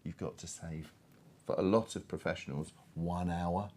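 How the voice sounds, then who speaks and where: A man with a distinctly deep voice talks as a guest on a panel. He is candid and emphatic in a conversational way, over faint background noise. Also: fairly even intonation, normal speed, darkish tone. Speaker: panel guest, software advocate.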